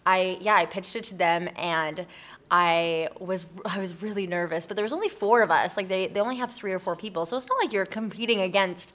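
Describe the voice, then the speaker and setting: A woman's voice: fairly low pitch, fairly quick, a bit bright. A woman chatting casually as an interview guest, informal and self-deprecating, often saying like. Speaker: interview guest, community project founder. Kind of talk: casual chat.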